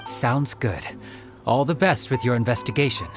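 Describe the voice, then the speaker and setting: An actor playing a polite, talkative detective in a video game, with a formal, explanatory, expressive delivery. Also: male voice, mid-range voice, normal speed, over music, close to an everyday voice. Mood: helpful, pensive. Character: polite, talkative male detective, earnest and businesslike.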